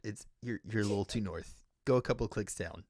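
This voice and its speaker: A man's voice: on the low side, a little fast, a little hiss or hum. A man from Tennessee hosting a livestream podcast, chatting casually with lots of laughs and backchannel fillers. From Tennessee, southern United States. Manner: animated and laughing, with many fillers. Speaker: podcast host.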